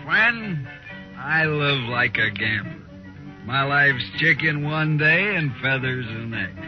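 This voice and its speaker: A muffled vintage radio western, music running behind. a boastful, dangerous American drifter who speaks in an unhurried, folksy drawl and is quick to take offence. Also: male voice, mid-range voice, animated. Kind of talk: old-time radio western acting.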